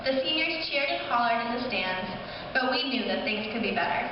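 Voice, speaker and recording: A young woman, a high school class officer, reading a scripted speech aloud at a school ceremony. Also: lively intonation, a little fast. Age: young adult. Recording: distant echoey hall, muffled, noisy.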